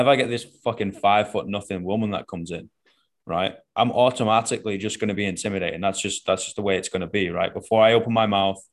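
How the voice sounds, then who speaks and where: A very deep-voiced man with a Northern English accent talks casually in a podcast chat. He is a fitness coach, blunt and profane, and keeps checking in with "right?" and "man". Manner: blunt and profane, says "right?" and "man" after almost every point.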